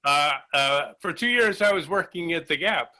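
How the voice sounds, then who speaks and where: A man playing a pompous, eccentric, over-cheerful job candidate with theatrical, drawn-out delivery in an improvised comedy sketch. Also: a little high, animated, pushed and bright. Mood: pompous, earnest. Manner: theatrical, emphatic, drawn-out enunciation.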